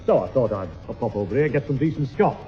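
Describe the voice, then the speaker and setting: A dull old radio comedy recording of a rough-and-ready Northern English workman played by a male actor. His pitch is very lively. He talks bluntly and familiarly, like a man fond of a pint. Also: quick pace, faint music behind, close to an everyday voice. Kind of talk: 1960s radio sitcom acting. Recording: muffled 1960s radio broadcast.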